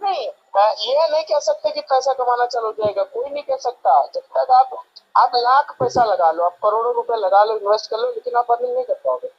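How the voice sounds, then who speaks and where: A man's voice: very high voice, a little fast, husky. A man on a phone call making casual sales talk, explaining defensively and repeating himself. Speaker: seller of paid channel promotion. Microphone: telephone call. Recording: dull, lo-fi phone call audio.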